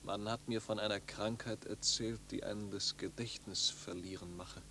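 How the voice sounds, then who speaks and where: An actor playing a restless, tormented young wanderer in a 1950s German radio drama, theatrical and brooding, between dreamy and despairing. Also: male voice, lowish voice, steady tone, normal speed, a little hiss or hum. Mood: weary, brooding. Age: young adult. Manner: theatrical, brooding, swings from hushed murmur to loud outcry.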